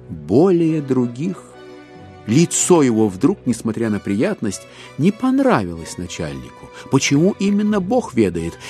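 A male narrator tells a classic story theatrically, with wry irony. His mid-pitched voice moves in lively, wide swings, with some music behind it.

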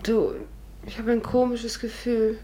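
A woman's voice: fairly high pitch, little pitch movement, a little slow, dark tone, lightly acted. An actress in a 1950s German radio drama playing a sensible, sceptical young woman, polite and wry. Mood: uneasy. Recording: noisy 1950s radio recording.

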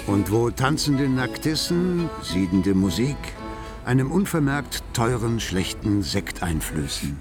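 A man acting as the wry narrator of a literary radio play about bohemian Berlin, articulate and measured in a declamatory storytelling way. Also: medium pitch. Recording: music behind the voice.

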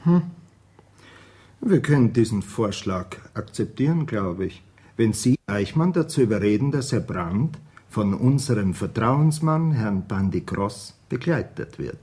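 An actor in a German radio play, playing a guarded intelligence officer with a dark, husky voice and formal manner. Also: male voice, on the low side, lively intonation, unhurried. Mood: guarded, conceding.

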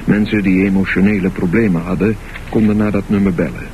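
A man narrating a scripted radio drama in measured, deliberate short phrases. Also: deep voice, steady tone. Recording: noisy, dull lo-fi radio recording.

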